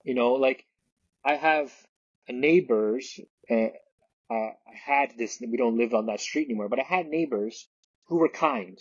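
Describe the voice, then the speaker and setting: A man's voice: mid-range voice, unhurried, husky, dry recording, lo-fi sound. A man speaking as a guest in an interview, hesitant and often restarting his sentences. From Canada. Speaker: guest, realtor and investor. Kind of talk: podcast interview conversation.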